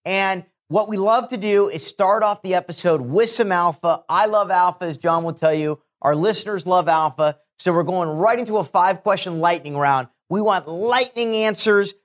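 A man's voice: sits high, moderate pace, few pauses. A man co-hosting a podcast, hyped up and heaping on repetitive praise. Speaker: podcast co-host. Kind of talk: enthusiastic show hosting.

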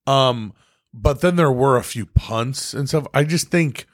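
A man co-hosting a casual podcast chat, profane and quick to laugh. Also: mid-range voice, dry recording, clean studio sound. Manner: animated, profane, laughs often. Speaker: podcast co-host.

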